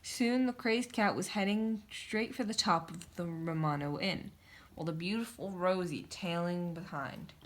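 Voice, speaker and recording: A woman's voice: on the low side, a little slow, slight background noise. A woman reading a children's picture book aloud, narrating in a theatrical, emphatic way. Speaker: storybook reader. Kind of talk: dramatic children's story narration.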